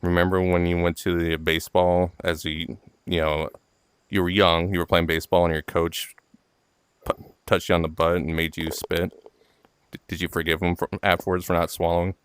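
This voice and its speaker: A man's voice: sits low, level tone, moderate pace, clean tone, dry recording. A man hosting a casual golf game with friends, telling crude jokes and laughing often. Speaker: host and golf player. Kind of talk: casual gaming banter.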